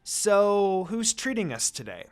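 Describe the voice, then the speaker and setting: A man's voice: sits high, very animated, slow, voice pushed up, exaggerated voice. A man voicing an outspoken, caring teenage girl in a story reading, direct and earnest. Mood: insistent. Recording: music under the voice.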